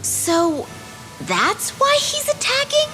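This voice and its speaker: A woman's voice: extremely high pitch, wildly swooping pitch, slow, sharp tone, soft background music, heavily acted, low-quality recording. A woman playing a headstrong, naive young fairy princess in a fantasy game, girlish and eager. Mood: curious. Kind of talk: fantasy video game voice acting.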